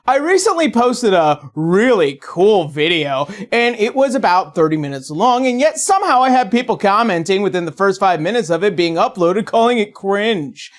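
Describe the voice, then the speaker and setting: Animated, sarcastic video essayist. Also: mid-range voice, normal speed, hardly any pauses. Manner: sarcastic, theatrical, expressive. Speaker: video essayist, channel host. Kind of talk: scripted comedic video essay narration.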